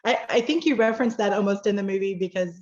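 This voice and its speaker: A woman's voice: mid-range voice, fast talker, darkish tone, muffled recording. A woman interviewing a film director over a video call, affirming his answers and recapping scenes from his film. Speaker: festival interviewer.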